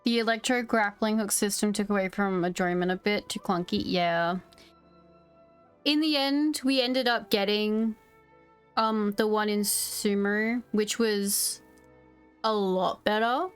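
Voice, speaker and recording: Unhurried woman streamer, casual chat. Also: medium pitch, clear, resonant tone, faint music behind. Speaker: game streamer. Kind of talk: casual live stream commentary.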